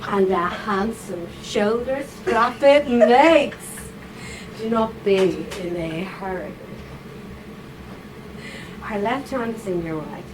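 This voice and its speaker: A woman with a fairly high voice reads slowly and deliberately at a live event, stopping to coax and tease her volunteers. Her tone is playful and often breaks into laughter. The recording is noisy, with room ambience underneath. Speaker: reader at a writers' salon. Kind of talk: reading aloud and directing volunteers.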